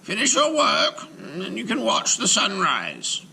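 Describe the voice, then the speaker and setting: A man voicing an elderly male rabbi, solemn and commanding, his lines raised high and urgent, at times whispered. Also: huge pitch swings, measured pace, creaky, sharp tone, slight background noise, exaggerated voice, low-quality recording.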